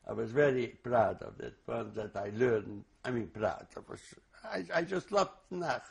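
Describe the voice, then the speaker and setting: An older man, a native Hungarian speaker, giving oral history testimony in a halting, reflective way with many restarts. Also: mid-range voice, animated, vocal fry. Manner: slow, reflective recall. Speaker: interviewee.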